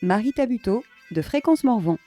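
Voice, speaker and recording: A woman reads a scripted list of credits. The voice is slightly high with a soft, dark tone. Faint folk music is behind it. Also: animated, moderate pace. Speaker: credits reader.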